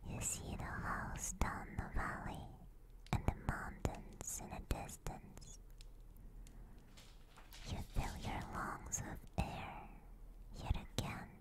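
A woman ASMR streamer gently and soothingly guiding a meditation in a breathy whisper, with lingering pauses, close to a binaural microphone.

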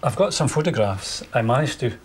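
A man's voice: medium pitch, animated, fairly quick, slight background noise. Older man recalling family memories. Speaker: interviewee. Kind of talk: casual recollection in an interview. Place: indoors in a living room.

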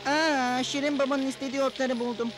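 A woman's voice: high-pitched, fairly even intonation, fairly quick, close to an everyday voice. A woman voicing a sporty, grumbling but good-hearted little male smurf in a cartoon dub. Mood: reluctant, grumbling. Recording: music under the voice.

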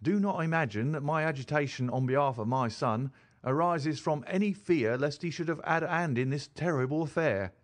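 A man's voice: fairly high pitch, normal speed, pitched above the performer's own voice, natural voice. A man voicing a distressed, respectable mother who keeps a boarding house, dramatic and formal, in an audiobook reading. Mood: agitated, earnest.

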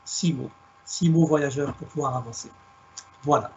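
Husky-voiced man on a video call. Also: medium pitch, lo-fi sound. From France, Alsace. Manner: measured, reflective, plays on words. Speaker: guest speaker, storyteller. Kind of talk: online talk, storytelling. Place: home room on a video call.

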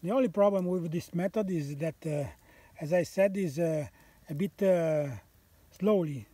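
Slow, halting man by a campfire. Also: fairly high pitch, warm tone, slightly rough, no room sound. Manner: halting, many "uh" fillers, explaining as he works. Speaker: bushcraft channel host. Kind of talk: casual outdoor demonstration. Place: outdoors on a wooded hillside by a campfire. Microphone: camera on the ground a few meters off.

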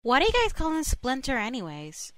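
A woman's voice: high voice, wildly swooping pitch, a little fast, fairly bright tone, no room sound, studio quality, full character voice. A woman playing a friendly, inquisitive young lab worker in a comic dub. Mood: puzzled. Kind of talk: amateur comic dub acting.

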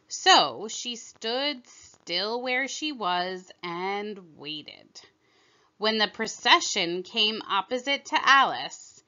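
A woman reading a children's story aloud in deliberate, expressive narration, on a slightly dull recording. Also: on the high side. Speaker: storybook reader.

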